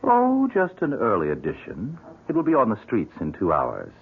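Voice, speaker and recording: A man's voice: on the low side, wildly swooping pitch, normal speed, vocal fry, exaggerated voice. An actor playing a sly, suave devil posing as a businessman in a radio drama, polite, smug and ominously formal. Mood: sly, mocking. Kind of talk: old-time radio drama acting. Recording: muffled, tinny, hissy radio drama recording.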